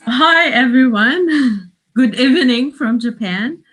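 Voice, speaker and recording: A woman from Iran whose first language is Persian, speaking English as a guest on a video call in a slow, hesitant way with frequent laughter. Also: high-pitched, lively intonation, fairly bright tone, husky, low-quality recording. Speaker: guest, doctoral student and English teacher.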